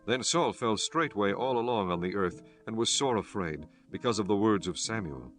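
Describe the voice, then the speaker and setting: A man giving measured, formal narration for a scripture audiobook. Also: lowish voice, animated, faint music behind.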